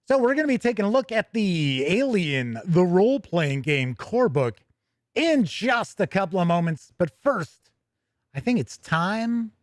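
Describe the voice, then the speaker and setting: A man's voice: high-pitched, dry recording, studio quality. A middle-aged American man hosting a podcast in casual, rambling chat, often breaking off and restarting his thoughts. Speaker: podcast host.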